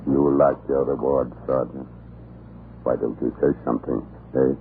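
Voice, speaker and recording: A man's voice: a bass voice, little pitch movement, moderate pace, creaky. A man playing a cruel, scheming Russian island commandant in a 1940s radio drama, menacing and theatrical with mock kindness. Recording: muffled, noisy old radio broadcast.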